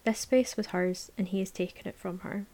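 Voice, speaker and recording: A woman reads a novel aloud at a middle pitch. Her narration is measured and calm, dropping to a near whisper at times. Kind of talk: audiobook narration, present tense.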